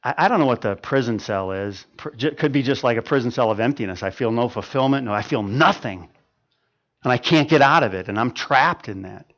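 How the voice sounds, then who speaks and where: A man's voice: medium pitch, expressive, brisk, muffled recording. Impassioned fifty-something male preacher. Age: 50s. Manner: impassioned, swings from loud emphasis to quiet, with stammered restarts. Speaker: pastor. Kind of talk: sermon.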